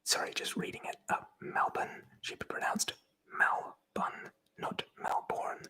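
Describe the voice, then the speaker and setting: Someone whispering in a breathy voice, reading aloud from a book in an ASMR style with chatty, informal commentary. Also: normal speed, bright, forceful tone. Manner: chatty, informal, swears casually. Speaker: presenter reading aloud from a tabletop RPG book.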